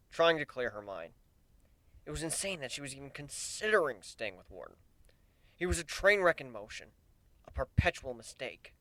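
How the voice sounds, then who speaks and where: A man's voice: mid-range voice, a bit bright, no room sound. A man reading a piece of fan fiction as a dramatic, scripted story narration.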